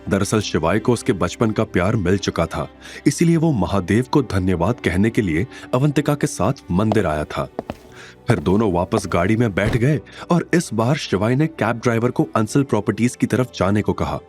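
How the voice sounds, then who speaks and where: A man's voice: fairly low pitch, lively intonation, normal speed, darkish tone. A man narrating a scripted audio story in a dramatic style. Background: background music.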